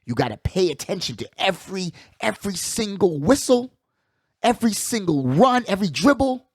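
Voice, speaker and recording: A man hosting a casual sports talk show, giving animated, slangy commentary and repeating phrases for emphasis. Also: sits high, moderate pace, no echo, studio quality. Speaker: sports podcast host.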